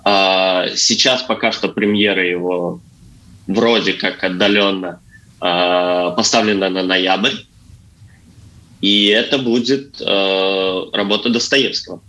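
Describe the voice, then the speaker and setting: Bright-voiced man answering interview questions. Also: low-pitched, steady tone, slow pace, low-quality recording. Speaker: actor and stage designer, interview guest.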